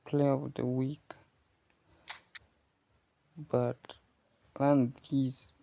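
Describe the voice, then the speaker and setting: A man talks slowly and gravelly, his pitch staying flat as he explains step by step. His is Kiswahili, and he checks in with his viewers as he goes. The sound is recorded on a phone. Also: dry recording. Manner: explains patiently to viewers, often asking "you see?". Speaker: gaming channel host. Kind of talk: casual gameplay tutorial commentary.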